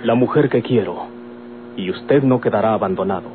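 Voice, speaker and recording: A man's voice: fairly low pitch, normal speed, close to an everyday voice. A man acting in an old Mexican radio drama as a firm, defiant grown son in love, earnest and declamatory. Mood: earnest, resolute. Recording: old tinny radio recording, noisy hum.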